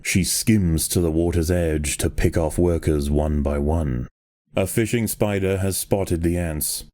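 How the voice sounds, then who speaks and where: A deep, mellow nature documentary narrator for a game. He delivers scripted lines with grave, measured drama. The sound is dry and intimate. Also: no room sound.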